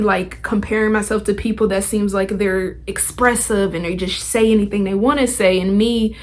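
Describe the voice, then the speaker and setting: A woman's voice: mid-range voice, a bit bright. A young adult woman hosting a podcast, talking in a casual, motivational way with chatty, informal, slangy phrasing. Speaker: podcast host.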